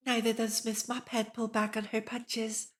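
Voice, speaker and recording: A woman's voice: on the high side, little pitch movement, moderate pace. A woman streamer reading a visual novel aloud, narrating and reacting as she goes. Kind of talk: livestream read-aloud with character voices and reactions.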